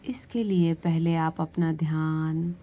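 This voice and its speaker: Slow, low-voiced woman guiding meditation. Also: steady tone, highs cut off. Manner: soothing, gently instructing, long pauses. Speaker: yoga nidra meditation instructor. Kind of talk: slow guided relaxation meditation.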